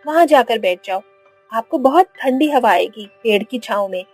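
A woman voicing a respectful, caring young daughter-in-law in a cartoon, politely and gently. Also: a little high, animated, normal speed, slightly rough, faint music behind. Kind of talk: Hindi cartoon voice acting.

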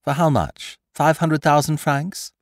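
A man voicing a cocky adult gentleman thief in an audiobook, with brisk, mocking, triumphant speech. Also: a little high, dry recording, clean studio sound, voice pushed up. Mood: scheming. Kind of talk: audiobook character voice.

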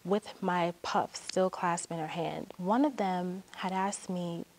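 A young woman performing a personal monologue in a lively, amused storytelling manner. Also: lowish voice, slow pace, a little hiss or hum, rough recording. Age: young adult. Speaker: student storyteller. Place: indoor studio with painted backdrop.

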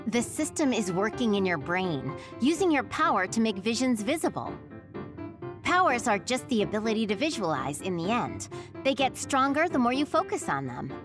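A woman's voice: on the high side, sing-song, moderate pace. A woman playing a friendly, caring young military operator in an anime game, informal and reassuring. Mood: informative. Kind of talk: English anime-game voice acting. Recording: music behind the voice.

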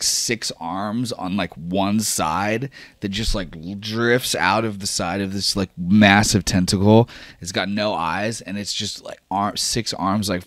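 A man runs a horror tabletop game in a low, forceful voice. He improvises vivid narration emphatically and casually, peppered with profanity, in a very dry acoustic. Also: dry recording. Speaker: game master.

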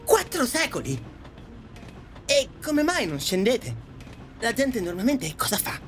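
A woman's voice: a little high, sing-song, unhurried, faint music behind. A woman voicing a bewildered, polite male taxi driver in an audio drama, hesitant and stammering. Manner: hesitant, stammering, repeating himself.

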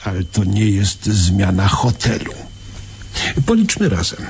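An actor playing a wily, smooth-talking criminal's lawyer in a radio drama, bargaining persuasively with small hesitations. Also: male voice, on the low side, big pitch swings, a little slow, a little mellow, exaggerated voice. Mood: placating, sly.

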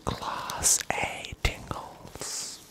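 A young man whispers very close to the microphone in an ASMR roleplay as a shady, secretive dealer, breathy and furtive, often repeating words over and over. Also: extremely slow. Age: young adult. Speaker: ASMR performer. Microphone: close studio microphone at the mouth.

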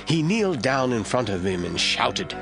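A man narrating a Bible audio drama as scripted, expressive storytelling. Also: medium pitch, normal speed, music in the background.

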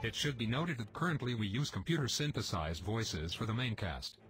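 Computer-synthesized voices reading an in-game notice, heard quietly through the game audio. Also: male voice, medium pitch, very animated, moderate pace, music in the background, lightly acted. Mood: flat.

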